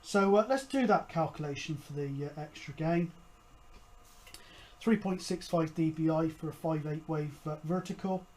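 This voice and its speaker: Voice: mid-range voice, moderate pace, darkish tone. A host explaining things casually, in a hesitant, rambling, matter-of-fact way. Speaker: host of a hobby CB radio show.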